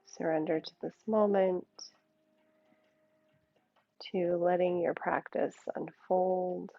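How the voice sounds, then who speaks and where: A woman yoga teacher leading a guided meditation in a soothing, unhurried way, with gentle pauses between short phrases. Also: lowish voice, fairly even intonation, creaky, no echo, lo-fi sound.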